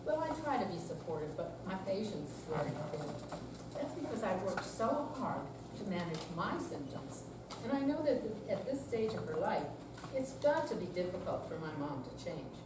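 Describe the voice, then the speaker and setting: A woman's voice: on the low side, big pitch swings, moderate pace. An older woman in a staged reading, playing a candid seventy-year-old woman who is weary but resolute about managing depression. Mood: weary, exasperated. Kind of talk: scripted dramatic reading. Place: senior center room, live performance. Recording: echoey room, distant mic, clear background noise.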